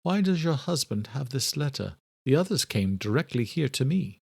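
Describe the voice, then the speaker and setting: A man voicing an elderly scholarly doctor in an audiobook, courteous and direct, with formal, deliberate, old-fashioned phrasing. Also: medium pitch, big pitch swings, creaky, a little mellow, breathy edge, dry recording, clean studio sound, pitched above the performer's own voice, exaggerated voice. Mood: troubled, direct. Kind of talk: audiobook character dialogue.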